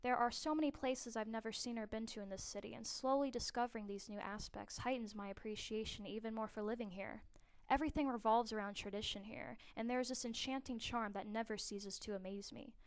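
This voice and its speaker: Young woman reading a smooth personal essay. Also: fairly high pitch, steady tone, a little fast. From New Orleans, USA. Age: young adult. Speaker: student. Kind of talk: scripted personal narration.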